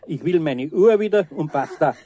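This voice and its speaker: An older actor playing a kindly, firm master carpenter in a 1970s radio play. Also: male voice, fairly high pitch, animated, normal speed, mellow, lo-fi sound, lightly acted. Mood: stern. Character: older kindly master carpenter, gruff but good-natured.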